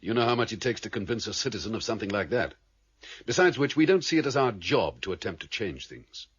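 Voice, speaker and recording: A grave, commanding leader of a criminal underworld in a radio play. He speaks formally and with authority, his pitch moving widely for dramatic weight. The recording is slightly dull and boxed in. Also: very animated, fast talker, husky. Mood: measured, guarded.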